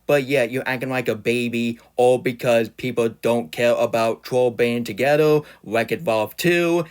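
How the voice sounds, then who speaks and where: A young man delivers a heated rant to his camera, scolding his target by name. He stutters often, restarting and repeating himself. His voice sits at an ordinary middle pitch. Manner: scolding, addresses the target directly. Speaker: YouTube ranter.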